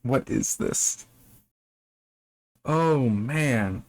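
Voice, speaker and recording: A man giving casual, unscripted commentary on a story as a reviewer, in a bemused, halting, rambling way that often repeats words. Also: mid-range voice, animated, a little hiss or hum. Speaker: book reviewer reading and commenting.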